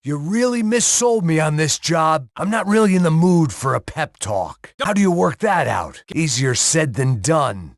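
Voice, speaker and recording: A man's voice: fairly high pitch, very animated, unhurried, hardly any pauses, no echo. An actor playing a world-weary middle-aged male bodyguard and former cop in a noir video game, with dry, sardonic wisecracks and a bleak inner monologue. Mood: tense terse. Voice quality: gravelly, sardonic.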